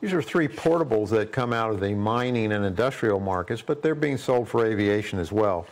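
A man in his sixties presenting a scripted product review in a workshop, brisk and plain-spoken with dry humor, on a lapel mic. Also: fairly low pitch, a little mellow. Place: indoor workshop studio.

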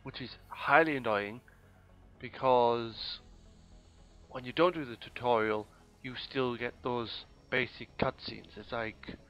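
A hoarse man, casually commentating a strategy game in a rambling, mock-grand way. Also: mid-range voice, takes it slowly, fairly bright tone, faint music behind. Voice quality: rambling, self-correcting, mock-grand phrasing. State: hoarse, losing his voice. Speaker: let's play gamer.

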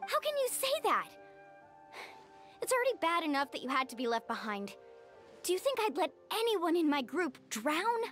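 Young female guide: high-pitched, earnest, responsible, expressive, anime game style. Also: moderate pace, pauses often, soft background music, heavily acted. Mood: indignant.

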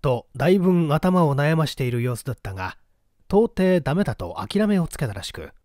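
A man's voice: on the high side, big pitch swings, a little fast, darkish tone, dry recording, studio quality. A man reading a mystery novel aloud as dramatic literary narration. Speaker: audiobook reader.

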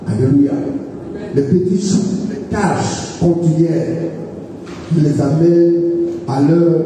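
A middle-aged man from Gabon preaching a sermon into a handheld mic, halting and repeating words, with hallelujahs between points. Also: on the high side, warm tone, recorded from a distance, a little hiss or hum. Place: large church hall, indoors. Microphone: handheld microphone. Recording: echoey hall, voice from afar.

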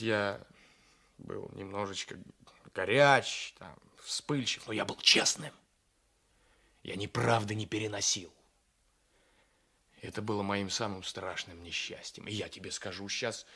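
A hot-tempered gendarme in a 1980s radio play. His husky voice is forceful and taunting, full of the bitterness of a former lover. He speaks with proud, emphatic insistence. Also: on the low side, full character voice. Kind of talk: 1980s Soviet radio drama acting.

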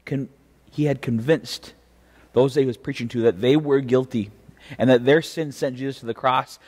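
A young American man speaks as a pastor giving a devotion. His delivery is earnest and measured, with deliberate phrasing and a mid-pitched voice. The recording carries the long reverb of a church interior. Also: a little mellow. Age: young adult. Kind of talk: devotional preaching with scripture reading. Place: indoor church chancel. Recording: reverberant church, mic at a distance.

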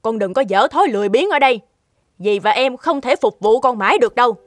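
Scheming folk-tale stepmother for animation, played by a woman: domineering, higher-pitched, cutting and scolding. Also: expressive, fast talker, lightly acted. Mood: stern scolding.

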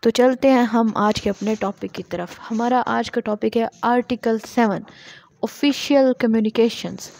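A woman's voice: a little high, fairly even intonation, unhurried, creaky, darkish tone, slight background noise. A woman with a Pakistani accent giving a law lecture, reading formal text aloud and explaining it by asking and answering her own questions.

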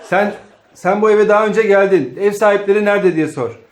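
A man's voice: sits high, fairly quick. A man casually giving playful, bossy stage directions as director of an improvised comedy sketch. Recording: echoey room with faint noise.

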